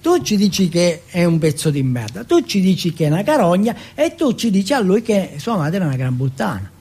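A man performs a young jailhouse bully for the stage, big and cruel. His voice rides high with a husky, creaky grain. He taunts and coaxes, repeating coarse commands with mocking bossiness, over faint music. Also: very animated, brisk, darkish tone, heavily acted. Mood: goading. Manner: taunting, coaxing, repetitive commands, coarse swearing. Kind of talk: theatrical stage monologue.

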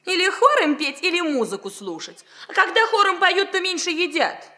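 A naive but cheeky girl in an old radio comedy. Her voice is very high and lilts up and down, artless and pert. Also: very animated, moderate pace, sharp tone, heavily acted. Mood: artless, indignant. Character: naive, cheeky young daughter of the house, girlish. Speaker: actress in a radio play. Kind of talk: 1960s radio comedy acting.

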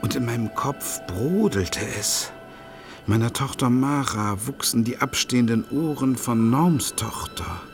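A man's voice: mid-range voice, a little slow, lightly acted. A man acting in a radio drama as an anxious father who narrates his feverish nightmares expressively. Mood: uneasy. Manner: expressive, building to agitation. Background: music.

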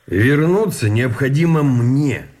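An actor playing a proud, stern army colonel in a radio play, stiff and commanding, with clipped phrases. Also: male voice, mid-range voice, expressive, slow, a little mellow.

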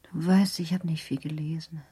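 An actress playing a gravely ill young woman in a radio drama, breathy and weak, serene and resigned to dying. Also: deep voice, fairly even intonation, normal speed, mellow. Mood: wistful, serene. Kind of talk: German radio drama acting.

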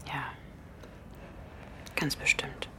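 An actress playing a weary, protective woman in a radio play, speaking in a hushed, guarded, intimate way. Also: very slow, whispered. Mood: soothing. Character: weary, protective adult woman caring for a child. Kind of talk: radio play acting, intimate inner monologue.

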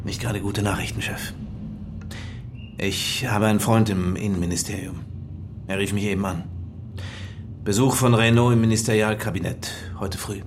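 A man playing a dry, sceptical police inspector in an audio drama, measured and pointed. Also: fairly low pitch, steady tone, background noise, lightly acted. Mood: grave. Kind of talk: German audio drama acting.

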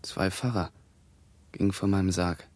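An actor playing a feverish young plague victim who tells of his own death in short, halting phrases in a radio play. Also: deep voice, fairly even intonation, a little mellow. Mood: sombre, haunted. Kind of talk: 1960s German radio play monologue in verse.

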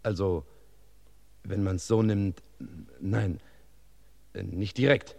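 An actor playing a long-winded, self-important cook giving a hesitant witness account in a German radio crime play. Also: male voice, sits low, steady tone, slow, gravelly, darkish tone, no echo, heavily acted. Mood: rambling. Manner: rambling, circumstantial, hesitant.

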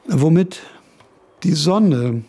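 An actor playing a pensive, scholarly eighteenth-century male philosopher in a radio drama, speaking in a deliberate, formal, learned manner. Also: fairly high pitch, lively intonation, dark tone, slightly rough, exaggerated voice. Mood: lecturing, measured. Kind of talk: radio drama monologue and lecturing.

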